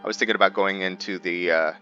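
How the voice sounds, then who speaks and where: A man giving casual gameplay commentary, thinking aloud with halting, hesitant pauses. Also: sits low, steady tone, sharp tone, highs cut off, soft background music. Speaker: gamer host of a roleplay series.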